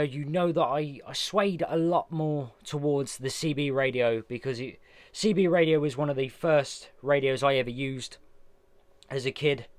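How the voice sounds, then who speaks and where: Chatty man, smooth close voice. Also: mid-range voice, dry recording. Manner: chatty, hands-on, narrating each step. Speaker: vlogger unboxing radio gear. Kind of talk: casual product unboxing walkthrough.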